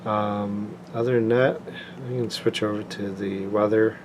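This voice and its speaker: Casting: man with a fairly low voice, casual hands-on reviewer, unpolished home recording with clear background hum. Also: unhurried. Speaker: product reviewer. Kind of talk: casual product demonstration. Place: indoors, home tabletop recording. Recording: clear steady air-conditioning room noise.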